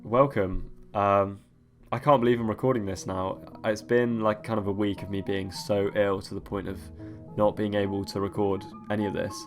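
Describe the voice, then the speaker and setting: A young man with a cold, sniffly and croaky, rambling through casual gameplay commentary as a let's-play host. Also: lowish voice, flat delivery, moderate pace, faint music behind. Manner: rambling, many ums and restarts.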